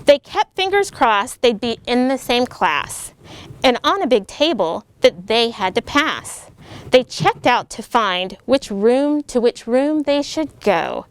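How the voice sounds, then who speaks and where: A middle-aged American woman reads a children's picture book aloud, her pitch sitting a little high and swinging widely as she voices the characters. Her delivery is animated and lively, aimed at young listeners. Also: takes it slowly, clear, resonant tone. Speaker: military officer reading to children. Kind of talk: storybook read-aloud.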